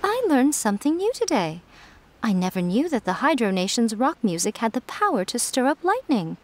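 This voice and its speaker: A woman's voice: fairly high pitch, very animated, moderate pace, slight background noise. A woman voicing a sly, teasing fox shrine priestess in a video game. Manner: playful, teasing.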